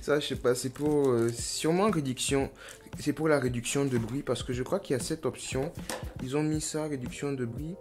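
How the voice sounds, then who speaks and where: A young man talks through an unboxing at a relaxed, middle pitch, musing aloud as he goes. His delivery is slangy and self-mocking. Clear background music runs under his voice the whole time. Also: normal speed. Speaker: YouTuber. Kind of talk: casual unboxing vlog.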